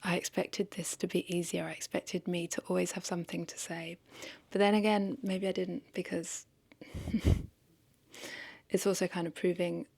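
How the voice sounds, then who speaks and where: A woman's voice: on the low side, level tone, moderate pace, gravelly, darkish tone, slightly rough. A woman talking casually and confessionally to camera as a vlogger, hesitant and reflective, questioning herself and repeating phrases.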